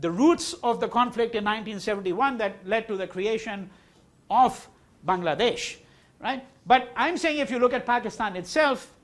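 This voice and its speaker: A man's voice: high-pitched, normal speed, a bit bright. A male university lecturer whose first language is Hindi, giving a classroom lecture. He repeats key words for emphasis and asks and answers his own questions. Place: lecture hall.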